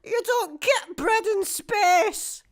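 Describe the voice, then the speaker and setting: Very high-pitched Scottish space attendant. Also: female voice, drawn-out words, exaggerated voice. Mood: chatty, dry. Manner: dry, self-aware, sarcastic. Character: deadpan cheeky space petrol station attendant. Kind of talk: sci-fi comedy radio acting.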